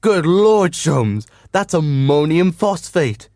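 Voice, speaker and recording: A man's voice: on the high side, big pitch swings, takes it slowly, darkish tone, dry recording, clean studio sound, full character voice. A man as a sensible doctor sidekick. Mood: astonished. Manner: sensible, matter-of-fact. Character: earnest, clever male doctor, detective's sidekick. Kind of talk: comedic radio drama acting.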